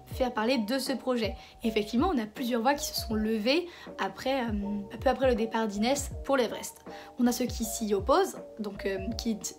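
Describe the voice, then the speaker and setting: Hoarse woman talking to camera. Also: a little high. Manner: conversational, hesitant fillers and restarts. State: hoarse from a cold. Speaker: video essayist host. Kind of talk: casual commentary video essay.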